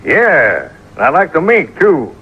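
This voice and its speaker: A man's voice: fairly high pitch, huge pitch swings, slow pace, sharp tone, slightly rough, full character voice. A male radio actor playing a rich, naive Texan oilman with a folksy drawl and rustic grammar. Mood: folksy, eager. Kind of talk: old-time radio acting. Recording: muffled, noisy old radio recording.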